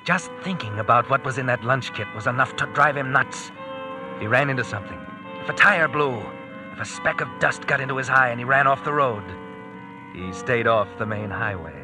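An actor playing a grim, needling thriller narrator in a classic radio drama, clipped and suspenseful. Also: male voice, mid-range voice, brisk, over music, close to an everyday voice, rough recording.

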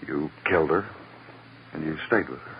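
An actor playing a tough American police detective in a 1950s radio drama, with clipped, pressing, accusatory questioning. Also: male voice, a bass voice, moderate pace. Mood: quietly accusing. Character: tough, probing male police detective interrogating suspects. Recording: dull, noisy vintage radio recording.

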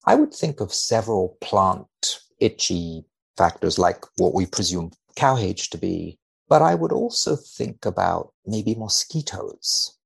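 A man with a very deep, gravelly voice speaks as a researcher guesting on a podcast. His wording is measured and a little formal, whether commenting or reading aloud, and the recording is dry with no room sound. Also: very animated, dry recording.

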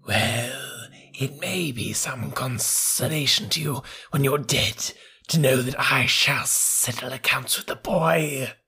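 A man voicing a cold, vengeful female cobra in a slow, hissing whisper. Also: mid-range voice, pushed and bright, breathy edge, voice pushed up, full character voice. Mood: menacing. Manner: slow, drawn-out threats.

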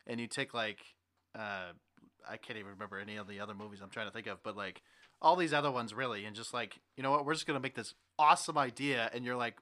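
A man hosting a podcast in casual chat, with an animated, jokey manner. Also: medium pitch, fast talker. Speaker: podcast host.

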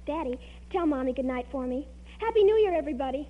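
A woman's voice: high-pitched, animated, quick pace. A young woman playing an eager, polite teenage daughter in a 1940s radio comedy, girlish and earnest. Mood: cheerful. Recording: muffled, hissy vintage radio broadcast.

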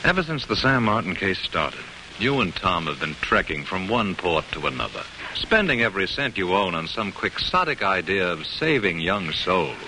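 A practical, skeptical gentleman lawyer in vintage radio drama. His voice is low and forceful, blunt in formal diction, on a slightly hissy recording. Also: very animated, normal speed, exaggerated voice, poor audio. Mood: concerned. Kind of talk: old-time American radio drama acting.

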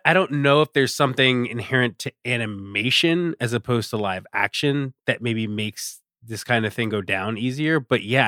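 A man's voice: medium pitch, pushed and bright, dry recording, studio quality. A man giving his opinions as a podcast guest, emphatic and thinking aloud in casual discussion. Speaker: podcast guest, culture writer.

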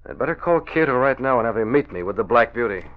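An actor playing a calm, assured male hero who plans a crimefighting scheme, in a dull old radio recording. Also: medium pitch, fast, soft background music, lightly acted. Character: calm, confident male publisher and masked crimefighter. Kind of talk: 1940s radio acting.

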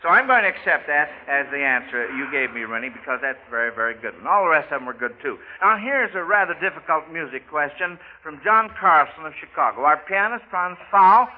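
High-voiced American male quiz host, chatty and encouraging, 1940s radio style, narrow vintage broadcast sound. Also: expressive, fairly quick, few pauses, slight background noise. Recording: old narrow-band radio recording.

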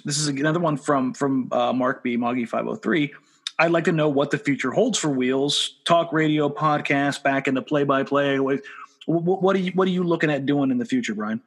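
A man's voice: medium pitch, fairly bright tone. A man hosting a podcast, chatting casually and putting questions to a guest. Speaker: podcast host. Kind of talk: casual podcast interview chat.

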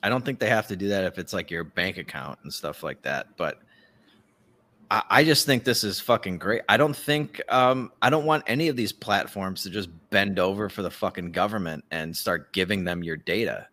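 A man's voice: sits low, expressive, moderate pace, bright, forceful tone. A man giving casual, profane political commentary as a podcast host, ranting and mockingly acting out what others say.

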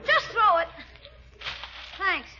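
Bratty wisecracking little boy, super high, swoops around, old radio comedy, muffled. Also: very animated, takes it slowly, pushed and bright, full character voice. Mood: brash. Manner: brash, wisecracking, whiny when pressed. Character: cheeky, mocking young schoolboy nephew. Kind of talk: 1940s radio comedy acting. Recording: muffled old radio recording, faint noise.

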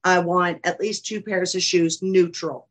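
A woman's voice: fairly low pitch, fairly even intonation, a little slow. Down-to-earth woman hosting a podcast. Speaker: podcast host. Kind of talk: casual interview chat.

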